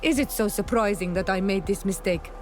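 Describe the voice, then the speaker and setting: A woman's voice: mid-range voice, a little fast, natural voice. An actress playing a proud, fierce young native islander woman in a video game, speaking earnestly and solemnly. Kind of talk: video game dialogue acting. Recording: game dialogue under prominent music.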